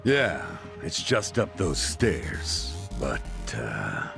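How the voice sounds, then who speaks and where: An actor voicing a suspicious, menacing gangster guard in a video game dub, sneering and confrontational. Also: male voice, extremely low pitch, big pitch swings, extremely slow, vocal fry, over music, heavily acted.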